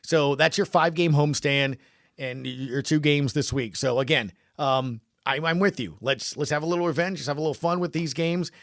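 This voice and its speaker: A man's voice: a little high, a little fast, a bit bright, no echo, studio quality. A man hosting a sports podcast in casual talk, speaking emphatically in short punchy phrases and often repeating himself for stress.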